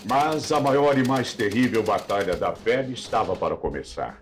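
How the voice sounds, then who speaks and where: A man's voice: lowish voice, animated, moderate pace. A man with a Brazilian accent narrating a scripted documentary in a solemn, dramatic, rhetorical manner. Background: background music.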